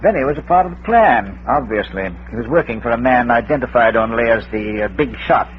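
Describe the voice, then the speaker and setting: A man's voice: medium pitch, lively intonation, brisk, lightly acted. Man acting a genteel, unflappable schoolmaster sleuth, old radio. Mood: suave explanation. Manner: precise, hesitant, dryly formal. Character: genteel, unflappable older schoolmaster and amateur detective. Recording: muffled, lightly noisy old radio recording.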